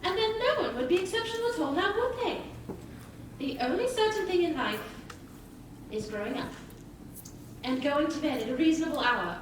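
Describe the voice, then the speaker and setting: Prim, strict mother, high and lively. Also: normal speed, long pauses. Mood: firm, lecturing. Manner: prim, proper, admonishing. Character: prim, strict but loving Edwardian mother. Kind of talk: theatrical stage acting. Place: theatre stage. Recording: echoey stage recording, distant mic, faint noise.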